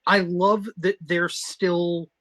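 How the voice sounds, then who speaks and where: Emphatic, lower-pitched woman podcaster. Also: flat delivery, very slow, fairly bright tone. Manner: emphatic, opinionated, interjecting. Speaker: podcast co-host. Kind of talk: animated review chat.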